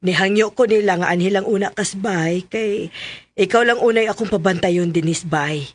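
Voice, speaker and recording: A strict middle-aged Cebuano Filipino aunt in a radio drama. The voice is pitched high for a male, with a husky grain. The manner is bossy and firm. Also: moderate pace. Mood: firm, persuading.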